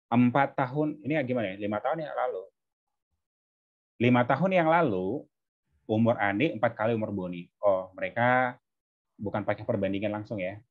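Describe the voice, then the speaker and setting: Low-voiced male tutor, dull recording. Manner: frequent checking questions to students. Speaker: tutor teaching exam maths. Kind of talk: informal step-by-step lesson.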